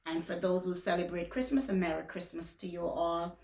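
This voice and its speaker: A woman's voice: fairly low pitch, steady tone, normal speed, darkish tone. A woman from Jamaica who later moved to the US, chatting casually to viewers in a rambling, conversational way as a lifestyle vlogger. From Jamaica until age fourteen, then the US. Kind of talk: casual vlog chat to viewers. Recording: echoey room.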